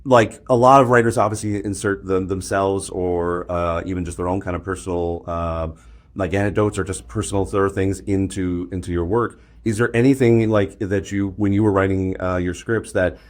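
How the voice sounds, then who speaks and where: Male interviewer, Canadian, low-pitched, casual and conversational, circles around his questions haltingly. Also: steady tone. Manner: rambling, halting phrasing.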